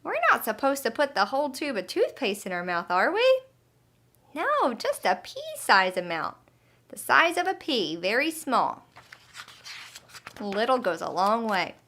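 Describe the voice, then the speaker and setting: A woman storyteller reading to young children. Her voice is high and bright, with very lively intonation, and she addresses her listeners directly and expressively. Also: measured pace. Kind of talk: animated scripted story narration.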